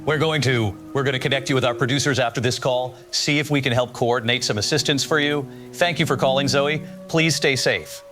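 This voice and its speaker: A man's voice: mid-range voice, fairly even intonation, fairly quick, a bit bright, soft background music, lightly acted. A man playing a concerned radio news host in an audio drama, courteous and earnest as he questions people. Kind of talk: live call-in news show in an audio drama.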